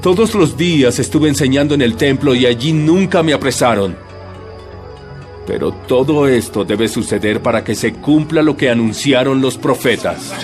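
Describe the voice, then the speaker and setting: An actor playing a solemn, calm, authoritative holy teacher with a measured delivery in a dramatized audio Bible. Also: male voice, medium pitch, faint music behind, close to an everyday voice. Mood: resigned, firm.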